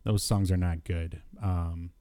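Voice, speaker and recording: Guy hosting a podcast, kinda low voice, chatty n jokey, stumbles a bit, super dry sound. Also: fairly even intonation, slow pace, dark tone, dry recording. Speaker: podcast host. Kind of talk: casual chat about cartoons and movies.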